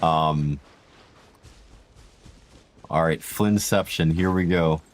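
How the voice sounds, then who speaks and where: A man with a deep voice streaming a game, chatting casually and rambling to viewers with slang. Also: drawn-out words. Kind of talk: casual gameplay livestream chat. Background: game music.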